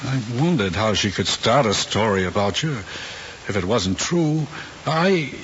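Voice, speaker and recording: An actor playing a troubled middle-aged father, grave and halting, in an old screen drama. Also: on the low side, animated, a bit bright. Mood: regretful. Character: troubled, regretful father who pushed his son. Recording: dull, hissy old film soundtrack.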